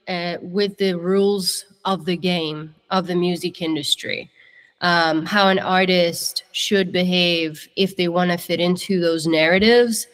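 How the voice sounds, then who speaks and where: A woman's voice: medium pitch, a bit bright, long reverb tail. A young woman from Italy, a singer-songwriter telling her story in a casual interview, chatty and candid.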